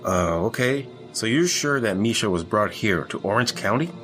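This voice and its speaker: A man's voice: lowish voice, animated, normal speed, over music, natural voice. A man playing a grudging, irritable secret agent in an audio drama, dry and exasperated with his ex-lover. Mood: wary, practical.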